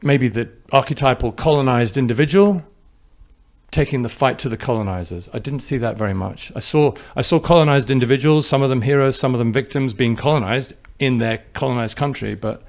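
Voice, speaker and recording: A man's voice: medium pitch, brisk. A film director with an English accent, answering interview questions in a reflective way, thinking aloud and restarting his sentences. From New Zealand.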